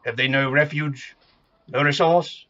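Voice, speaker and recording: A man's voice: on the high side, steady tone, a little slow, pushed and bright, muffled recording. A man playing a miserly, grumpy old man in an audio play, with an indignant, curmudgeonly manner. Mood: troubled.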